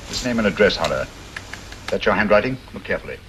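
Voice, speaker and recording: An actor playing a shrewd, courteous detective who questions people crisply and with authority in an old detective drama. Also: male voice, sits low, very animated, fast talker, a bit bright, poor audio. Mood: urgent, intent. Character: shrewd, commanding male private detective, courteous and probing. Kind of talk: old-time detective film acting. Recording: old soundtrack with background noise.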